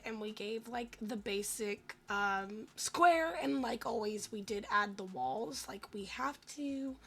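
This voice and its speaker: Woman, raspy cracking voice, fry-heavy, forceful edge, self-deprecating, stumbling casual gameplay commentary. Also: a little high. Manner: rambling, self-deprecating, stumbles over words. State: hoarse voice. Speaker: gaming video host.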